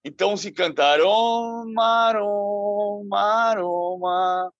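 A man's voice: high voice, no echo, lo-fi sound, soft background music. A man speaking as a sports journalist on a podcast, reeling off dates and facts from memory. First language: Portuguese. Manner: knowledgeable, rattles off dates and facts quickly. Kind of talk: podcast discussion, storytelling.

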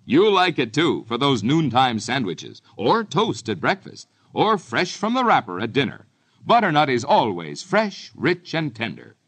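A man's voice: mid-range voice, wildly swooping pitch, unhurried, fairly bright tone. A man with an American accent delivering a folksy, emphatic old-time radio commercial aimed at children. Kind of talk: 1950s radio ad pitch to children.

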